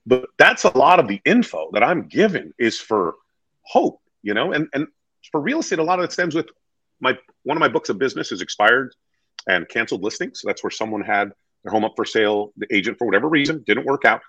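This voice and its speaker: A man talking conversationally as an interview guest, earnest and emphatic, telling stories and acting out dialogue in a deep voice. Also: very animated, fairly quick, fairly bright tone, slightly rough, no room sound, poor audio. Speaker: real estate agent being interviewed as a guest.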